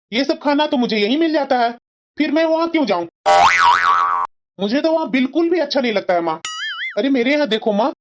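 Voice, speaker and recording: A woman's voice: high-pitched, dull audio. A woman voicing a boastful, fault-finding young son-in-law in a cartoon family drama, complaining smugly. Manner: complaining, smug.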